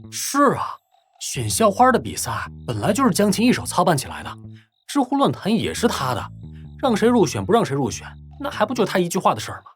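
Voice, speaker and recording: A woman's voice: medium pitch, wildly swooping pitch, brisk, soft background music. A woman playing an outspoken, gossipy young college student in an audio drama, exclamatory in manner. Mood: gossipy, amazed.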